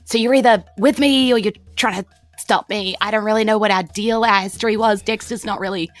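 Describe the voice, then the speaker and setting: A woman's voice: medium pitch, fairly even intonation, brisk, faint music behind, lightly acted. A woman with a New Zealand accent playing an evasive, forgetful male cat-person thief in a tabletop game, blunt and awkward. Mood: impatient. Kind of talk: improvised tabletop roleplay.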